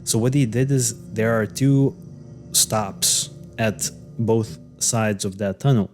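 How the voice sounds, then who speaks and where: Low mellow-voiced man telling a story. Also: slow, soft background music. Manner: loose and hesitant, many fillers and restarts. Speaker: podcast co-host. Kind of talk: casual conversational storytelling.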